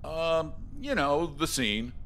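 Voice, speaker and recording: A man voicing an awkward, creepily ingratiating middle-aged suburban dad in a visual novel, halting and stammering. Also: on the high side, lively intonation, fairly bright tone, slight background noise, heavily acted. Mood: nervous, ingratiating. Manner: halting, stammering, fumbling for words.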